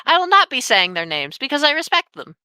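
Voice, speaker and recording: A nineteen-year-old woman telling a painful personal story on a podcast, candid and sweary with heavy pauses. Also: high voice, very animated, pushed and bright, dry recording. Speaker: podcast guest.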